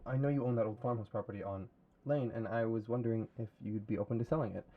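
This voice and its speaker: An actor playing an eager, deferential young radio performer, hesitant and pleading, caught on an old studio tape. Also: male voice, on the low side, steady tone, fast talker, phone-quality sound. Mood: nervous, pleading.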